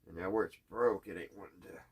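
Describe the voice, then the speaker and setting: A man chatting casually in a halting, puzzled, folksy way, thinking out loud as he tinkers. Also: sits low, breathy edge. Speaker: host of a radio repair hobby channel. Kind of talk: casual hands-on repair chat, thinking out loud.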